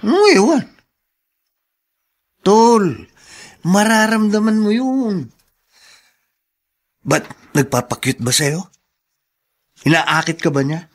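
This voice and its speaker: High-pitched, animated, teasing buddy. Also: male voice, slow, pauses often, heavily acted. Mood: teasing, prying. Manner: slangy, teasing, chuckling. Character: teasing, nosy male buddy prying into friend's secrets. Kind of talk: radio drama acting.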